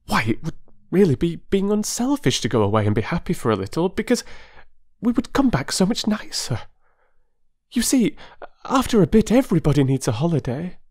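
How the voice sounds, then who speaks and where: A reader voicing an impetuous, excitable middle-aged woman who pleads in an eager rush. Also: mid-range voice, fairly quick, pitched above the performer's own voice. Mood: eager pleading. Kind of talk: audiobook character voice.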